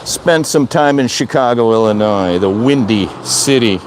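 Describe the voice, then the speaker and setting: A man's voice: medium pitch, expressive, unhurried, background noise. An older man from Wisconsin chatting casually on a live stream, rambling and repeating himself as he answers viewer comments. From Wisconsin, USA. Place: outdoor city balcony.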